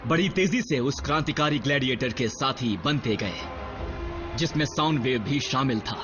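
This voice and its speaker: A man playing a grave veteran robot soldier in a dubbed cartoon, telling war history in a measured, solemn way. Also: mid-range voice, natural voice. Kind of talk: dubbed animated series dialogue and narration. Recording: muffled sound with loud dramatic music.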